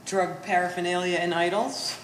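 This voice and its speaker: Woman doing holier-than-thou daughter in a play, sardonic n prim, lowish voice, echoey room with a bit of noise. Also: steady tone, normal speed, close to an everyday voice. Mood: sardonic, righteous. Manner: sardonic, pompous wording. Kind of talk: one-act stage play performance. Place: gallery stage performance, indoors. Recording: echoey hall with faint noise.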